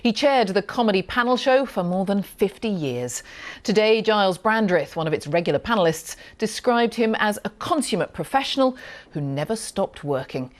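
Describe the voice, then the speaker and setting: A woman's voice: medium pitch, lively intonation, normal speed. A woman presenting the news, reading a scripted report.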